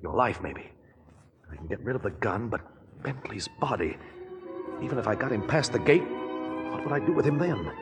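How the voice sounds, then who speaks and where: An actor playing an urgent, protective schemer in breathy, intense 1940s radio melodrama style. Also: male voice, lowish voice, big pitch swings, normal speed, long pauses. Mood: scheming. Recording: echoey 1940s radio recording with music.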